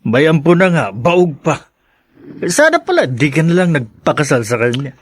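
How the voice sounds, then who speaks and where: An actor playing a brash, callous Filipino drinking buddy in a radio drama, scoffing, teasing and pushy. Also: male voice, a little high, sing-song, normal speed. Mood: scornful.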